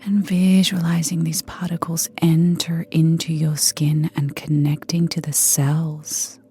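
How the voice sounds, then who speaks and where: A woman leading a guided meditation in a soft, breathy near-whisper, gentle and soothing, with unhurried pauses. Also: deep voice, little pitch movement. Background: soft background music.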